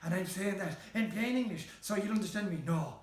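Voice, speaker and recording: A man's voice: high-pitched, brisk, roomy sound, far from the mic, lightly acted. A man voicing a fuming, scornful schoolmaster Brother from a short story, scolding in a west of Ireland accent. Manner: scolding, haranguing.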